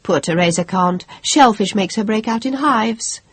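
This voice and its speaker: A reader voicing a beautiful, self-assured young woman who talks brightly and eagerly in an audiobook. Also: medium pitch, normal speed, slightly rough, no room sound, voice pushed up. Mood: breezy.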